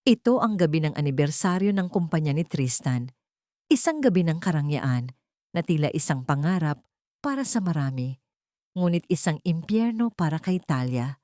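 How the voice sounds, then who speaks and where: A woman's voice: deep voice, big pitch swings, moderate pace, dark tone, dry recording, studio quality. A woman reading a dramatic scripted story as its narrator.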